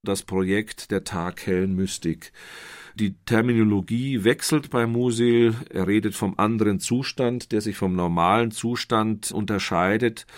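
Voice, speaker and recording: A man with a somewhat deep voice gives scholarly commentary. He speaks formally and precisely, building long explanatory sentences like a literary expert.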